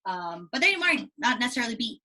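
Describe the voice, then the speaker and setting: A woman teacher giving a read-aloud lesson to young children, animated and explanatory with frequent check-in questions, in a slightly husky voice. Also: on the high side, fairly quick. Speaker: elementary school teacher.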